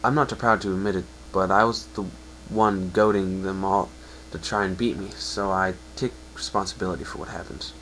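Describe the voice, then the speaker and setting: A low-pitched, downcast young pegasus from a fan-fiction reading, played by a man. He speaks earnestly and haltingly, blaming himself. The recording has faint noise. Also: steady tone, a bit bright, rough recording. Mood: earnest, regretful.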